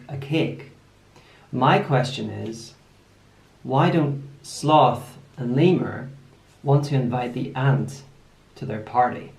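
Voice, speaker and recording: A young man speaks to children as an author presenting a trivia question. His mid-pitched voice has a gravelly creak. The room adds clear echo around him. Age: young adult. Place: indoor room, seated at a desk. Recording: roomy indoor echo.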